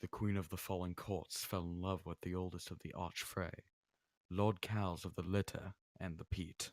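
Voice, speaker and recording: A man at a table read playing a grave knight, solemn and portentous, in the manner of one telling dark legends. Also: sits low, flat delivery, moderate pace, no echo. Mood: solemn, ominous.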